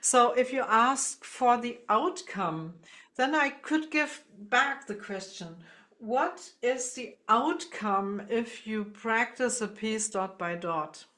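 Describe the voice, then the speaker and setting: Woman musician chatting in an interview, really slow, halting, thinking out her words. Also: on the high side, animated, pushed and bright. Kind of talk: reflective conversational explanation.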